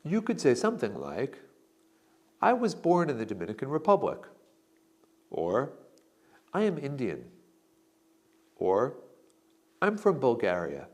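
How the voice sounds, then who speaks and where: A man narrating an English lesson, reading out model answers slowly and deliberately. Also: medium pitch, wildly swooping pitch. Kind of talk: slow instructional model answers.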